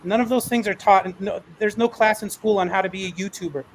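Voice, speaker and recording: Guy hosting a panel talk, pretty high voice, really encouraging and affirming. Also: steady tone, a little fast, slight background noise, poor audio. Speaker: panel moderator. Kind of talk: moderating an online panel discussion.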